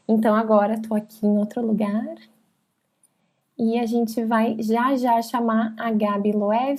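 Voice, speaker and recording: A woman's voice: a little high, mellow. A woman hosting a casual live-stream interview in an effusive, welcoming manner.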